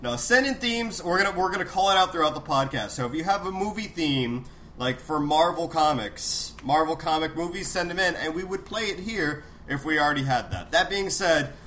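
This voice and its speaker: A man's voice: fairly high pitch, animated, a little fast, sharp tone, slight background noise. A man co-hosting a casual podcast, slightly sick and congested, rambling and joking about himself. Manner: rambling, jokey, self-deprecating. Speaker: podcast co-host.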